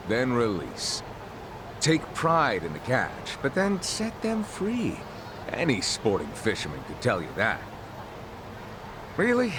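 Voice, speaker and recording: An actor playing a flamboyant, carefree businessman chatting on the phone in a video game cutscene dub, breezy and self-satisfied. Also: medium pitch. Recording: outdoor street noise.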